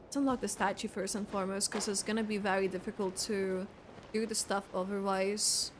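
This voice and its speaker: A woman's voice: mid-range voice, flat delivery, brisk, slight background noise. A woman doing casual livestream game commentary, chatting to viewers and thinking aloud as she plays. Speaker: game streamer.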